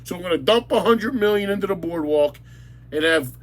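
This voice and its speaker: Brief: New man, high pitch, blunt angry rant, repeats himself for emphasis. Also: animated, a little fast. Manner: blunt, insulting, repetitive, emphatic. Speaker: local commentator. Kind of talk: angry ranting monologue.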